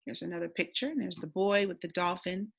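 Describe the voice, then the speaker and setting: A woman's voice: on the low side, a little fast, creaky, slightly rough. A woman reading a storybook aloud expressively, in the instructive, simple manner of a teacher with young listeners.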